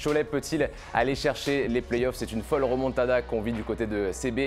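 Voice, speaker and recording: A man's voice: medium pitch, steady tone, a little fast, a little mellow. A man hosting a sports talk show, presenting and interviewing conversationally in short, hesitant bursts. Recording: music under the voice. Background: music.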